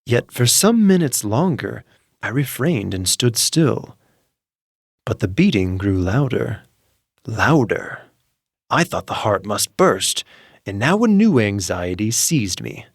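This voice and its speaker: A man narrating a horror story as a nervous, gleefully sinister madman, theatrical and deliberate, with emphatic delivery. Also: lowish voice, very animated, no echo, clean studio sound, heavily acted. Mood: agitated, fevered. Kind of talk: dramatic first-person horror audiobook narration.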